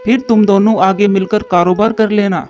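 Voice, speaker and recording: A man's voice: high voice, fairly even intonation, moderate pace, a little mellow, highs cut off, close to an everyday voice. A man voicing a helpful, dutiful crow in a children's cartoon fable, speaking earnestly and emphatically. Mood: friendly, explaining. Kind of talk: Hindi cartoon voice acting.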